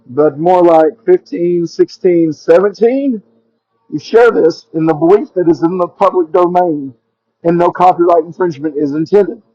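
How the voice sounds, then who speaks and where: A man's voice: a little high, mellow, breathy edge, no room sound. A man hosting a podcast, giving introductions and announcements to his listeners. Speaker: podcast host. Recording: dull, lo-fi close recording.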